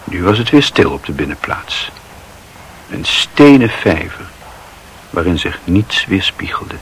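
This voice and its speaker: A man narrating a literary radio play as a wry, observant storyteller with a husky voice. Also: deep voice, big pitch swings, unhurried, a bit bright, a little hiss or hum, full character voice, rough recording. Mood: wry, measured.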